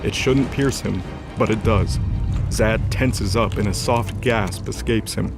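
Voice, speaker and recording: A man's voice: on the low side, a little slow. A man giving scripted dramatic narration as the narrator of an audio drama. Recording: music bed behind voice.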